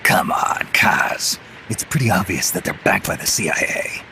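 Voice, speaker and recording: An American man playing a weary, stubborn veteran mercenary commander in a game cutscene, gruff, terse and cynical. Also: deep voice, animated, normal speed, pushed and bright, whispered, exaggerated voice. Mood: grim, cynical. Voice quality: gravelly, gruff. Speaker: video game voice actor. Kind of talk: dramatic game cutscene dialogue.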